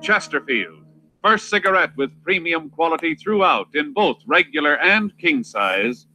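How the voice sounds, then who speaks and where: A man's voice: on the high side, expressive, measured pace, poor audio. A man working as a radio announcer, delivering a formal 1950s show introduction. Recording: old radio broadcast over loud music.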